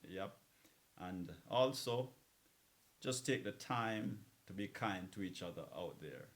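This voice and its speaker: A man's voice: low voice, expressive, slow. A man hosting a music show as a DJ, talking in a laid-back, philosophical and encouraging conversational way between songs. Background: music playing under the voice.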